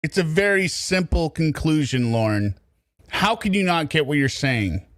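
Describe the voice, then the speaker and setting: Dude doing true crime commentary, sarcastic, snaps back at the clip, dry close sound. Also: male voice, fairly high pitch, big pitch swings, moderate pace, no echo. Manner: sarcastic, talks back at the recording. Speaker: commentary host.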